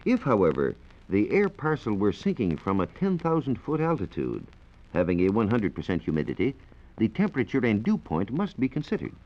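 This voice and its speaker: A man explaining science in a measured, precise way as a reporter on a 1940s radio program. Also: lowish voice, huge pitch swings. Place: radio studio. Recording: dull, noisy 1940s radio recording.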